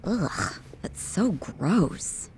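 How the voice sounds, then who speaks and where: An actress voicing a brash, teasing young woman in an English video game dub, with a taunting, drawn-out delivery. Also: on the low side, big pitch swings, darkish tone, slight background noise, heavily acted. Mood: disgusted.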